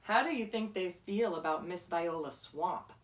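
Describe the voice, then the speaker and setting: A woman's voice: fairly low pitch, normal speed. A woman reading a children's picture book aloud like a teacher, explaining simply and putting questions to a young listener. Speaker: read-aloud storyteller, teacher. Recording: echoey room, distant microphone.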